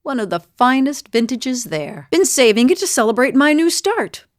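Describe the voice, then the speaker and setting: A woman's voice: high-pitched, lively intonation, moderate pace, no echo, clean studio sound. Wry, world-weary adventurer woman, closely recorded. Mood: gently resolved. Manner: wry, conversational, reflective. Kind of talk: video game character dialogue.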